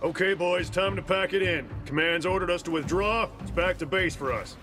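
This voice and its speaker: An actor playing a gruff, bossy military lieutenant in a video game, curt and commanding. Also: male voice, high voice, normal speed, lightly acted. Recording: game music behind.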